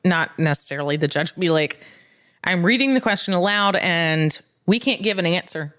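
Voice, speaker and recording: A woman's voice: lowish voice, moderate pace, fairly bright tone. Woman co-hosting a casual legal podcast. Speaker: legal expert podcast co-host. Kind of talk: casual explanatory podcast chat.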